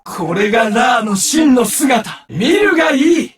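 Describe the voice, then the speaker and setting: A man's voice: extremely high pitch, lively intonation, fairly quick, fairly bright tone. A man playing a menacing, vengeful young duelist villain in an anime card game, with a theatrical, taunting delivery. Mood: exultant. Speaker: voice actor. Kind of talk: Japanese anime game character lines.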